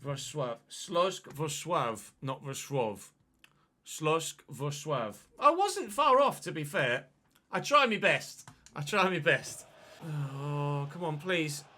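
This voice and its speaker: A man commentating his football video game in a chatty way, breaking into excited shouts at big moments. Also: on the high side, takes it slowly. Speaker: gaming channel host.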